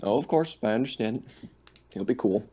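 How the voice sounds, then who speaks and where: A man's voice: medium pitch, lively intonation, normal speed, dark tone, breathy edge. A man playing a tabletop roleplaying game, talking casually and sometimes in character. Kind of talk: casual game chat, partly speaking in character.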